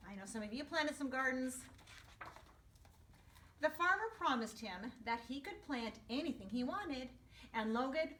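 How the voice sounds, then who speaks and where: A woman's voice: a little high, fairly bright tone, a little hiss or hum. An older woman, a first grade teacher, reading a storybook aloud to young children expressively, with explanatory asides. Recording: echoey room, distant microphone.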